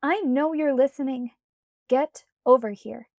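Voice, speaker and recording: A woman's voice: high voice, lively intonation, slow pace, dark tone, dry recording, clean studio sound. A woman voicing an exasperated, stubborn young woman who groans and protests. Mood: protesting.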